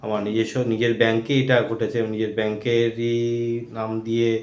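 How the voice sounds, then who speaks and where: A man's voice: lowish voice, monotone, fairly quick. A man with an Indian Bengali accent giving an informal, earnest public-awareness talk in a cautioning manner. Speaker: video creator warning about fraud. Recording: echoey room with faint noise.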